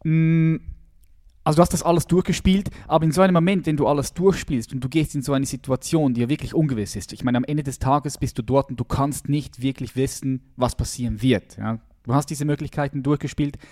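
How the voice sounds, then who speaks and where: A man's voice: medium pitch, fairly even intonation, a little fast, darkish tone. Enthusiastic male interview host. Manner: enthusiastic, frequent affirmations, mixes in English phrases. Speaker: podcast host. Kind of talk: interview conversation.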